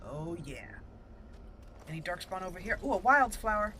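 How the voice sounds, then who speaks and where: A woman's voice: fairly low pitch, big pitch swings, normal speed, sharp tone, slight background noise. A woman hosting a roleplay game playthrough, chatting casually with many fillers and restarts. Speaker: host playing a roleplay game. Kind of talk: let's play commentary.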